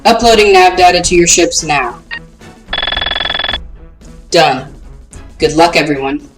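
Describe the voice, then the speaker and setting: An actress playing a brisk, businesslike military commander in an audio drama, with crisp authority. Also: female voice, on the low side. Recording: distant voice, faint music behind.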